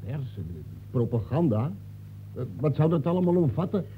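An actor playing a grumbling villain from a crime novel, hesitant and aggrieved, in an old radio play. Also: male voice, on the high side, very animated, normal speed, slight background noise. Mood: puzzled. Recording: old radio recording, thin phone-like band.

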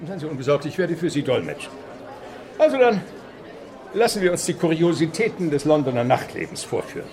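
Creaky, wry French police deputy. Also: male voice, a little high, sing-song, brisk, a crowd in the background. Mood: dry, formal. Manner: precise, wry, formal. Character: dry, learned French male police deputy and interpreter. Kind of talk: radio drama dialogue. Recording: noisy outdoor street ambience.

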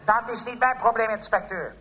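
Squeaky-high, smug villain. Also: male voice, moderate pace, low-quality recording. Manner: calmly menacing, polite. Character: smug, polite male blackmailing villain. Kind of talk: comic radio play acting.

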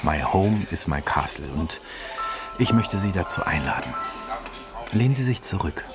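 Male radio host, very low register, music underneath. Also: big pitch swings, normal speed. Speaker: host and presenter.

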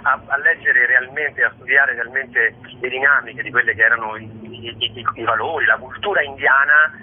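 A man's voice: medium pitch, steady tone, moderate pace, breathy edge. A man giving a conversational radio interview as a storyteller, talking discursively and expressively, with rhetorical asides. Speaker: guest, stage actor and storyteller. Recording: thin phone-line radio sound.

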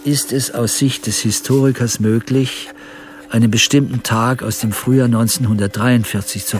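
An actor playing an obsessive elderly amateur historian who reads out a formal, meticulous letter in a radio drama. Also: male voice, mid-range voice, a little mellow, close to an everyday voice.

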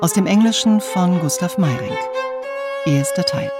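A woman announcer reading scripted radio drama credits in a measured, formal way. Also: fairly low pitch, lively intonation. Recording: prominent violin music behind. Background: violin music.

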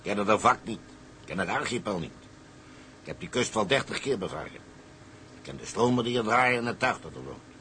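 An actor playing a boastful, wily older tugboat skipper with a salty, colloquial way of telling things. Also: male voice, on the low side, lively intonation, a little fast, pauses often, sharp tone, slight background noise. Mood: scheming, insistent. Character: wily, boastful old colonial tugboat skipper, a persuasive schemer. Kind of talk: dramatised dialogue, storytelling in character.

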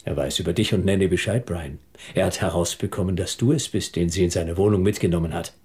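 An actor in a German radio drama playing a determined, controlled man suspected of murder, questioning tersely in a husky, gravelly voice. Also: low-pitched, quick pace, a little mellow. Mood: quietly insistent.

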